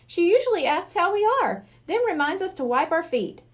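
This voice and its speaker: A woman's voice: very high voice, very animated, moderate pace, voice pushed up. A woman reading a storybook as a sensible, concerned young girl who talks in an earnest, questioning way.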